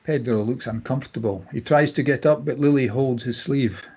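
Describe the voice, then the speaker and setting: A man's voice: mid-range voice, normal speed, a little mellow, husky. A man reading scripted stage directions as narrator at a table read.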